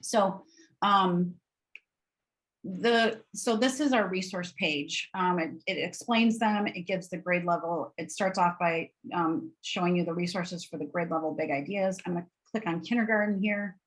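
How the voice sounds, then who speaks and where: A woman's voice: on the low side, measured pace, poor audio. A woman with a nasal Wisconsin accent giving an upbeat, informal webinar walkthrough of educational resources in a conversational way.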